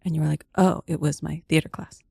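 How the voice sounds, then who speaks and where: A female podcast guest with a slightly low voice in a dry recording. She chats casually and playfully, repeating little phrases as she tells her story. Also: little pitch movement, fast, gravelly, mellow, slightly rough, no room sound. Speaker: guest, mime performer.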